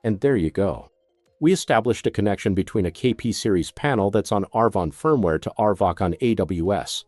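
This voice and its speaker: Low-voiced man narrating a lively-pitched tutorial. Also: brisk, dry recording, clean studio sound, soft background music. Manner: methodical, step-by-step instruction. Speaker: product demonstrator.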